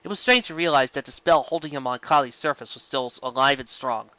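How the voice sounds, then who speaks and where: A man's voice: medium pitch, brisk, sharp tone, dry recording, muffled recording. A man narrating a scripted piece of fan fiction as an amateur reader, now and then stumbling over words and rereading them.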